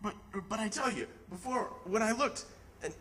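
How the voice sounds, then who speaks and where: A man's voice: high voice, expressive, moderate pace, bright, forceful tone, slight background noise. An actor playing a tearful, awestruck new father, stammering and repeating himself in old-time radio theatre. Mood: tearful astonishment.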